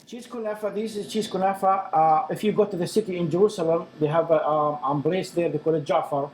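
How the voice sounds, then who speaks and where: Man, fairly high voice, earnest broken English, echoey room. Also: fairly even intonation, brisk, a little mellow. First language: Arabic. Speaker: interviewee. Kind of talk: casual personal testimony. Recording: echoey room with faint noise.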